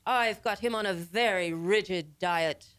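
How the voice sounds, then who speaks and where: A woman's voice: mid-range voice, measured pace, a bit bright, clean tone, natural voice. An actress playing a bossy, scolding married woman in an old-time radio comedy, speaking imperiously. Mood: bossy, fussy. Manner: imperious, insistent.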